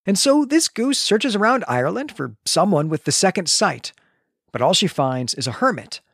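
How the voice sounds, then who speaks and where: A man co-hosting a book podcast, retelling a chapter in a lively storytelling way. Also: high voice, moderate pace, creaky, husky, dry recording, clean studio sound. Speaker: podcast co-host. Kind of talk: book-club podcast recap.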